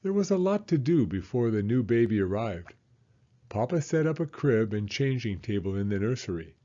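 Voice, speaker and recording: A man reading a children's story aloud as an animated narrator. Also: mid-range voice, normal speed, dull audio.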